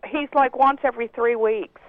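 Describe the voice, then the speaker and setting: High-voiced woman caller on a muffled line. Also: steady tone, normal speed, no room sound. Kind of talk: casual phone conversation. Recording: muffled, narrow telephone line.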